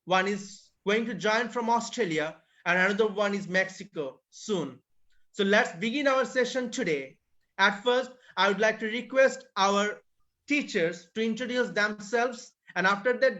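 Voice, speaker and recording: Male host, high bright voice, formal and courteous; dull call audio. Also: normal speed. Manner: formal, polite. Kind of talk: moderating a video call. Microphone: video call. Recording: muffled video call audio.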